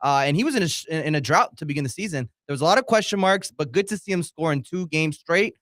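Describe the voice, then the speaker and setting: Slightly high-voiced male soccer analyst, dry sound. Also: expressive, fast talker, a bit bright, no room sound. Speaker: soccer analyst co-host, former college player. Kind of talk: conversational match analysis.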